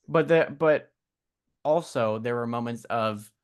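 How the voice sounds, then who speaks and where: A man's voice: medium pitch, clear, resonant tone. Chicago-accented man chatting on a podcast. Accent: Midwest American, Chicago. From USA. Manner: halting, trails off and restarts. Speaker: podcast co-host. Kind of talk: casual chat.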